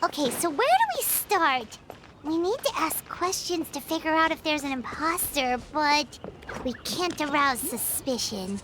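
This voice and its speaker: A tiny, eager fairy companion from a video game. The voice is extremely high and squeaky, swinging wildly in pitch while taking its time over the words. It sounds childlike and full of curiosity. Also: female voice, a little slow, noisy background. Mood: pondering. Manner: animated, inquisitive. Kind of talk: video game character acting. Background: background music.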